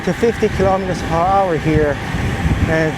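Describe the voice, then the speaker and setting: Male cycling vlogger, high voice, explanatory and halting delivery, recorded outside with noticeable vehicle noise. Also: fairly even intonation. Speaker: vlogger, cyclist testing camera gear. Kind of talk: explanatory vlog talk while riding. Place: outdoors on the road while cycling. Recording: clear outdoor road and traffic noise. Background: ambience and vehicle noise.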